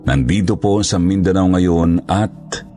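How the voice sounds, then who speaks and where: A man's voice: deep voice, measured pace, mellow, soft background music, voice pushed up. A man voicing a regretful older ex-criminal recounting his past in scripted first-person horror storytelling, earnest and confessional. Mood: earnest, guarded.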